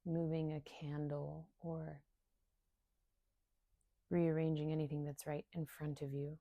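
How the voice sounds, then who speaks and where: Slow, low-voiced woman guiding a meditation. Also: flat delivery, vocal fry, dark tone, no room sound, highs cut off. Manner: unhurried, soothing, with long pauses between short phrases. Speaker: meditation guide and somatic coach. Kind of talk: guided meditation.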